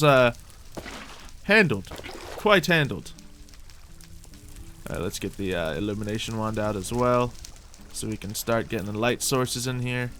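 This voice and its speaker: Bright-voiced man doing gameplay roleplay commentary. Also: medium pitch, a little hiss or hum. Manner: muttering to himself, repeats phrases. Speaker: gaming video host.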